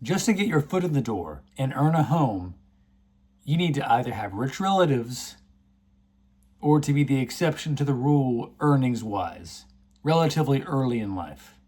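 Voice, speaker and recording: A man's voice: mid-range voice, big pitch swings, normal speed, a little mellow, slightly rough. A man delivering a scripted, earnest commentary, indignant about the issue he is laying out. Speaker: video essay host.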